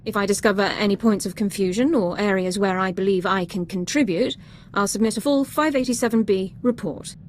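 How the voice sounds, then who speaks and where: A woman's voice: medium pitch, a little fast, a little mellow, slight background noise, natural voice. A woman playing an eager, rule-bound young female sergeant who speaks formally and precisely in a video game. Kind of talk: video game cutscene acting.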